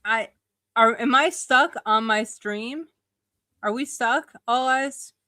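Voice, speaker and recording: Woman host, informal livestream chat. Bright, forward tone, close dry sound, gushing delivery. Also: fairly high pitch, slow, no room sound. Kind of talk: casual livestream interview.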